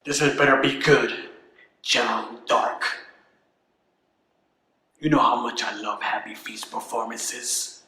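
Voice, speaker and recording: An actor voicing a pompous, fun-loving king in an amateur movie, talking in a booming, theatrical, demanding way. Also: steady tone, slow pace, pauses often, pushed and bright. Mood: annoyed. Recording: echoey room with faint music.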